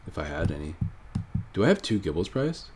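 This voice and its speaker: A man's voice: low voice, very animated, a little fast, background noise. A man with a stuffy, nasal voice from a cold, casually thinking aloud while commenting on his own card game match. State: sick, stuffy nose. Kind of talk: live gameplay commentary.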